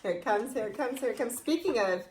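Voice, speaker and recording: A woman's voice: fairly high pitch, expressive, fast talker, darkish tone, slight background noise. A young woman with an American accent, co-hosting in casual, bubbly chat to camera. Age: young adult. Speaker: video channel co-host. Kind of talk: casual chatty banter to camera. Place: small indoor room before a banner.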